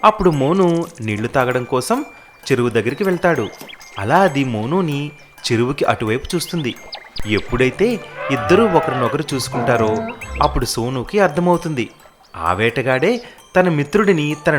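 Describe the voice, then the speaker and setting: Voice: mid-range voice, expressive, normal speed, long pauses, soft background music. A storyteller narrating a scripted animal fable for children.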